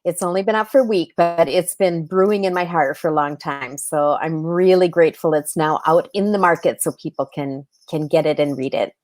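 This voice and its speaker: Woman, slightly low voice, dry recording. Thoughtful, earnest interview guest who explains things conversationally. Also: fairly quick, hardly any pauses, a little mellow, no echo.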